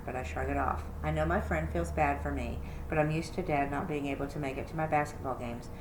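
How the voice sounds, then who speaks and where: A woman with a low voice narrates a first-person children's novel. She reads expressively, as a librarian would at story time. The room is echoey, with faint background noise. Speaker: librarian reading aloud. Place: indoor room, roomy sound.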